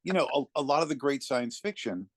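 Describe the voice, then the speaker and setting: A man talking conversationally as an interview guest, telling anecdotes in a reflective way with thoughtful pauses. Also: mid-range voice, big pitch swings, no echo, clean studio sound. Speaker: interview guest, narrator of classic readings.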